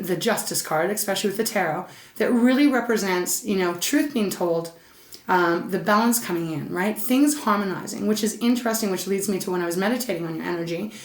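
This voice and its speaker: A woman's voice: medium pitch, roomy sound. Chatty woman with a scratchy voice. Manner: chatty, soothing, addresses listener as Saggy. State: slightly scratchy, stuffed-up voice. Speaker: tarot reader. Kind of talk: casual monthly tarot reading.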